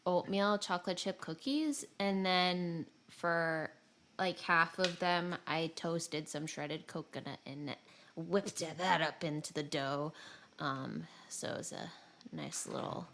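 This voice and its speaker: A woman's voice: lowish voice, takes it slowly, fairly bright tone, slight background noise. A woman chatting casually as the co-host of a podcast.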